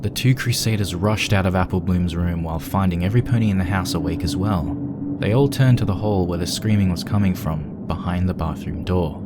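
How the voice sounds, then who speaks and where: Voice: low voice, brisk, over loud music. A narrator reading a scripted fan fiction story aloud.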